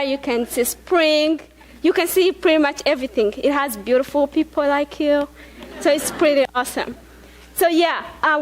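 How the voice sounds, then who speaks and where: A young woman from Rwanda speaks on a large auditorium stage. Her high voice stays earnest and conversational, with plenty of fillers and restarts. A headset mic picks her up close. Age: young adult. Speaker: student speaker. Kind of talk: personal talk on stage.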